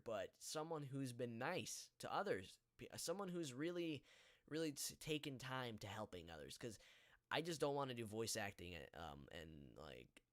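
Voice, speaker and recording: A teenage male high school student hosting a casual podcast, talking in an earnest, halting, rambling way. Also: fairly bright tone, no echo, clean studio sound. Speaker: podcast host. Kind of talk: casual live podcast chat.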